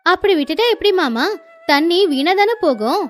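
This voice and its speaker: A woman's voice: high-pitched, animated, moderate pace, faint music behind. A woman voicing an earnest, dutiful young village daughter-in-law who speaks respectfully in a moral-story cartoon. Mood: confident, respectful.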